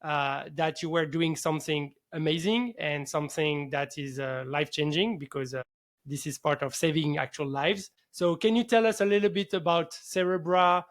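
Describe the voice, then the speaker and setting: A man's voice: fairly high pitch, fairly even intonation, normal speed. A man hosting a show in a casual, enthusiastic interview style. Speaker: podcast host.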